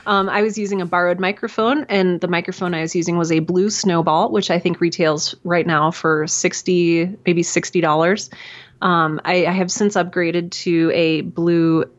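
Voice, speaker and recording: A woman's voice: lowish voice, steady tone, moderate pace, highs cut off. A woman, talking casually and self-deprecatingly as an interview guest, with lots of fillers and restarts. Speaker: podcast guest, audio drama creator. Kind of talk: conversational interview. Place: home room hung with towels.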